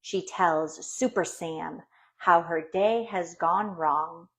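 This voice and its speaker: Woman doing kids read aloud, really slow n deliberate, voice a bit on the low side. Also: fairly bright tone. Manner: slow, deliberate phrasing for young children. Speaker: storybook reader. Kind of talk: expressive children's read-aloud.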